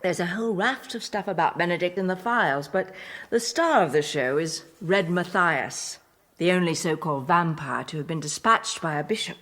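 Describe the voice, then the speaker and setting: Low-voiced seductive countess played by a woman. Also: normal speed, a bit bright, close to an everyday voice. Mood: coy, hospitable. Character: poised, seductive Eastern European countess, claiming twenty-nine. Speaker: actor. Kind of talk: radio drama acting.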